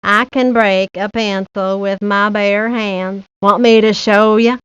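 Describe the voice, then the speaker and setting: A woman voicing a good-natured, slow-witted boy with a simple, dopey manner, for a cartoon video game. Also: medium pitch, fairly even intonation, a bit bright, clear, resonant tone, no echo. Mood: dopey, cheerful. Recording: dull lo-fi 1990s game audio.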